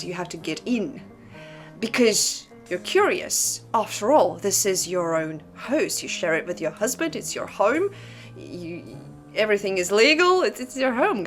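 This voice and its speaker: A woman's voice: mid-range voice, fairly bright tone. A woman hosting a commentary on disturbing books, speaking in a dramatic, conversational way straight to the viewer with rhetorical questions. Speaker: host of a disturbing literature channel. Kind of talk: casual scripted commentary to camera.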